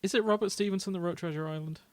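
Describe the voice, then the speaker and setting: A man's voice: sits high, rapid-fire. A man co-hosting a podcast in casual comedic chat, joking and giggly. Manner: jokey, giggly. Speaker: podcast co-host.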